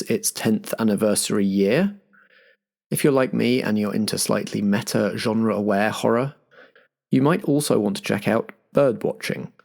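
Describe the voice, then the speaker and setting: A man's voice: fairly low pitch, vocal fry, a little mellow. A man hosting a roleplay podcast and narrating as game master. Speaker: game master and show host.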